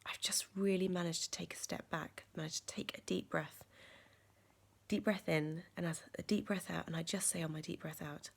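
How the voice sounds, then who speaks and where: Croaky low-voiced woman. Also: fairly even intonation, moderate pace. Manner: earnest, thoughtful, many ums and restarts. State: croaky voice from a sore chest. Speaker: vlogger. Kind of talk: casual reflective vlog.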